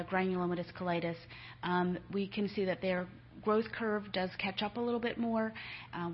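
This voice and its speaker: A woman's voice: medium pitch, slight background noise. A middle-aged woman, a nurse, giving a conversational conference talk to families, with many filler ums. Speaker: nurse speaker. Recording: dull lo-fi lecture recording.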